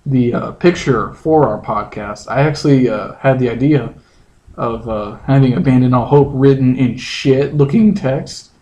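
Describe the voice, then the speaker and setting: Halting man with a mid-pitched voice. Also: warm tone, lo-fi sound. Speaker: comedy podcast host. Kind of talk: casual banter and readings of internet posts.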